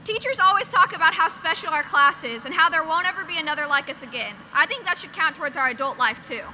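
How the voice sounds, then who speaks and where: A woman's voice: high voice, animated, fast, pushed and bright, a little hiss or hum. A young woman graduating student giving an upbeat scripted speech at a graduation ceremony. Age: young adult.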